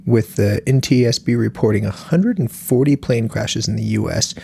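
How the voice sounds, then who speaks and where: Gravelly-voiced male podcast co-host. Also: medium pitch, normal speed, darkish tone. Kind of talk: scripted podcast talk.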